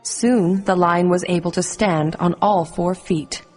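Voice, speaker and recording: A woman reading scripted narration for a children's animated story. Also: fairly low pitch, unhurried. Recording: music underneath.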